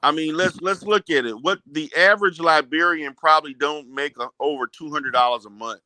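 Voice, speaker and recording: Male panelist for a heated debate. Sharp, insistent voice, slightly high, keeps cutting in and repeating himself. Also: brisk, no room sound. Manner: insistent, interrupting, repeats words.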